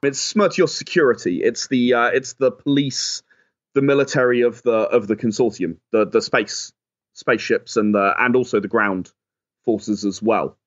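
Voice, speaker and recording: A man's voice: mid-range voice, normal speed, highs cut off. A man being interviewed as a game creator and producer, explaining his work conversationally.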